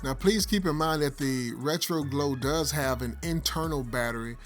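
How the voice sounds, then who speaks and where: A man's voice: a little high, normal speed. Man explaining casually over prominent music. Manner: explanatory, addressing viewers directly. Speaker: tech product reviewer. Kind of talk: casual product review and demonstration. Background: background music.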